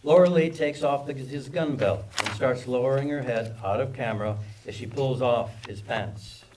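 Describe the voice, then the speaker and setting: A man's voice: medium pitch, moderate pace, a little mellow, slight background noise. A man reading screenplay stage directions as scripted narration at a live table read. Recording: distant microphone at live reading.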